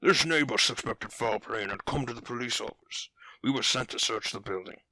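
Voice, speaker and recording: Man playing a crisp-toned, formal police officer. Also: mid-range voice, brisk, pushed and bright, dry recording. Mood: formal, official. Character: polite, official police officer on a night search. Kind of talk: audio drama character dialogue.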